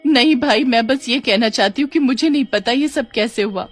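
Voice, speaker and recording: A woman voicing a wronged, honest older paan seller who pleads plaintively in an animated story. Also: on the high side, normal speed, dry recording, close to an everyday voice. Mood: pleading. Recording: music under the voice.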